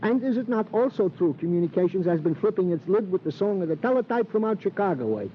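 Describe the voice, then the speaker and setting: An actor playing an excitable police sergeant, the comic sidekick in a 1950s radio crime drama, with flowery, mock-formal, theatrical speech. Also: male voice, high voice, fast. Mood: gleeful, pompous. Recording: muffled, faintly noisy old radio recording.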